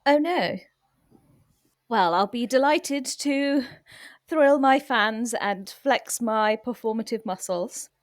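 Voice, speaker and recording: A woman's voice: fairly high pitch, slow, no echo, clean studio sound. A woman playing a vain radio drama actress with a gushing, self-important manner. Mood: gushing theatrical. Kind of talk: improvised radio comedy.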